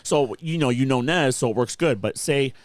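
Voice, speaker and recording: A man chatting casually on a DJ podcast, telling stories in slangy, animated talk with plenty of swearing. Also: medium pitch, normal speed, no room sound. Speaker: club DJ and podcast guest.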